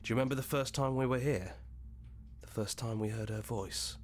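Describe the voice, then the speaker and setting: A man voicing an earnest, soft-spoken young hero in a video game, thoughtful and loyal. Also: mid-range voice, a little fast, slight background noise, natural voice. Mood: wistful. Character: earnest heroic young man, gentle inner voice and loyal companion. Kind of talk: visual novel game voice acting.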